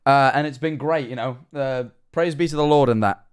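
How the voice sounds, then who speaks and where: A man's voice: mid-range voice, fairly quick, clear, resonant tone. A young man, reading viewer messages aloud on a livestream with sarcasm and a lot of swearing. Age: young adult. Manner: animated, sarcastic, swears often. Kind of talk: reading and reacting to chat. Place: small bedroom streaming room. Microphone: headset-style studio mic, seated at desk.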